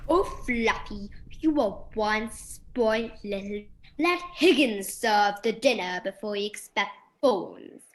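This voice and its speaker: A woman acting a menacing aristocratic Count in an amateur radio play, speaking deliberately. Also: medium pitch, lively intonation, a little hiss or hum, poor audio. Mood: indulgent.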